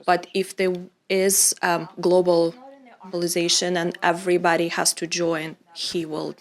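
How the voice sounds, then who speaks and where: Flat, gravelly-voiced woman interpreter. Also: on the low side. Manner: measured delivery with filler pauses. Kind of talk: interpreting into English.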